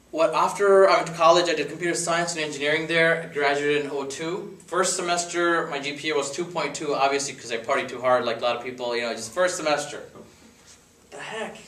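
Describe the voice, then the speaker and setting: A man in his thirties, from India with Gujarati as his first language, chats and jokes loosely to friends after dinner. His voice sits a little high for a man. It comes from some distance off in a room that echoes. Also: a little fast, fairly bright tone. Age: 30s. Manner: chatty, rambling, joking. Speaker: speaker at a dinner talk. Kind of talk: casual personal talk to friends. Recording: echoey room, mic far off.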